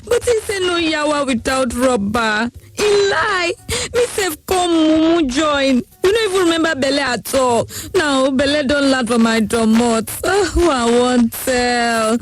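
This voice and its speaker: A woman's voice: sits high, animated, a little slow. An actress playing a distraught, regretful young pregnant woman with a Nigerian accent, lamenting in a radio advert. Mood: panicked lament. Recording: music playing behind the voice. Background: music.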